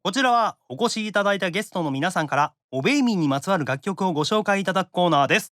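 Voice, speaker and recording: A man with a Kansai accent hosting a podcast, chatting and reading out letters in a teasing, expressive way. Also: high voice, very fast, no echo, clean studio sound. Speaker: podcast host and voice actor.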